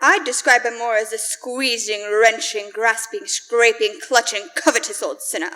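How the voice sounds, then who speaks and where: A woman's voice: a little high, fairly even intonation, normal speed, bright, forceful tone. A woman playing a folksy, eager, gullible Victorian tenant in an old-time radio ad, performing live in a church hall. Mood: put-upon griping. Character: gee-whiz wide-eyed Victorian London tenant woman in a mock ad.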